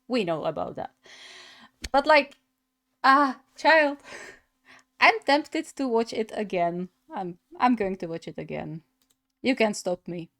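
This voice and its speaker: A woman hosting a gaming reaction video with casual, playful, musing commentary. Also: on the high side. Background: music.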